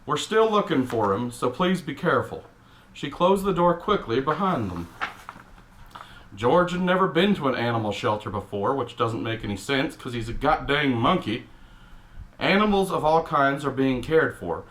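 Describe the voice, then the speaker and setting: A man's voice: medium pitch, expressive, moderate pace, fairly bright tone, natural voice. A man putting on a cranky middle-aged Texan dad with a Texan accent, reading a picture book aloud with blunt, exasperated asides. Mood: grumbling. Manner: grumpy, exasperated, blunt, mildly profane. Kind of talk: comic character storybook reading with grumbling asides.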